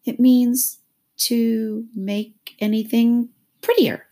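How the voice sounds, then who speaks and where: A woman's voice: medium pitch, wildly swooping pitch, normal speed, gravelly, darkish tone, breathy edge, pitched above the performer's own voice, full character voice. A woman voicing a polite, curious young girl in a storybook, prim and questioning.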